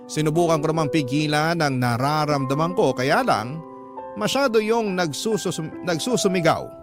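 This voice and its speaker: A man with a slightly high voice narrates a radio drama. He reads in a confessional, first-person scripted style, with prominent music behind him.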